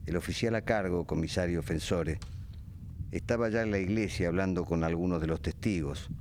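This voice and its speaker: A man's voice: low voice, normal speed, darkish tone, slight background noise, natural voice. An actor playing a grave male narrator in a radio crime drama.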